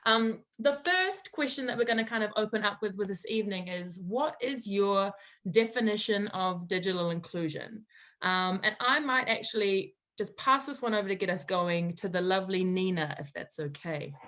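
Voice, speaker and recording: A woman's voice: medium pitch, moderate pace, a bit bright. A woman hosting a casual online panel, upbeat and effusive as she introduces speakers over a video call. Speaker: panel host. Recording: slightly dull video-call audio.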